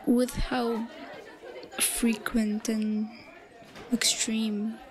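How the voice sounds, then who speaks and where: Woman acting a high-pitched, timid, nervous schoolgirl yandere. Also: flat delivery, extremely slow, some chatter behind. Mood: lovesick, self-loathing. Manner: nervous, stammering, breathy hesitant delivery. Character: timid, bullied young female student, shy obsessive yandere. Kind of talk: roleplay audio drama acting.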